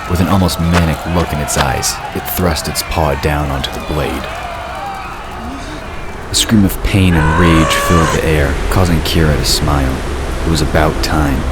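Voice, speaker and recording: A man narrating a fantasy story from a script in a measured, dramatic storytelling manner. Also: extremely low pitch, heavy background noise.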